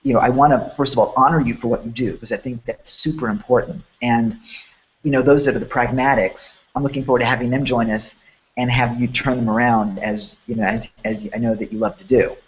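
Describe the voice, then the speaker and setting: A man hosts an event preview, his voice hoarse and a little congested from a cold. He rambles with eager enthusiasm and a middling pitch. The audio comes through a dull, lo-fi call line. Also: steady tone, a little fast. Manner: rambling, enthusiastic, many restarts. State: hoarse and sniffling. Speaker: host. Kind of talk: promotional webinar chat.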